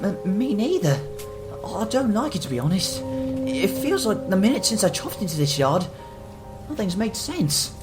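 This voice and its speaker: A woman's voice: mid-range voice, very animated, moderate pace, over music, close to an everyday voice. A woman playing a frightened, earnest young male tank engine in an audio drama, stammering and pleading. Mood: uneasy, pleading. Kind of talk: amateur audio drama acting.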